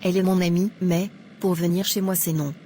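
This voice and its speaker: A woman playing a judgmental young churchgoer in an animated moral drama, chatty and smug. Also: on the low side, a little fast, slight background noise, natural voice. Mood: smug disapproval. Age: young adult.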